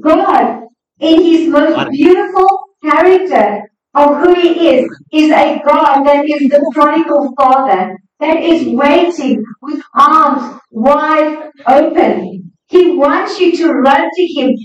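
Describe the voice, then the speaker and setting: A woman's voice: sits high, slow. A woman preacher delivering an emphatic, exhorting sermon to a congregation. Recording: echoey hall, distant, slightly dull sound.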